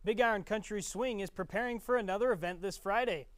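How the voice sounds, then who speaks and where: A woman's voice: mid-range voice, fast talker, dry recording. Young female co-anchor, student studio news, playful and light. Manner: playful, self-deprecating. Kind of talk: news reading and light banter. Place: college TV studio.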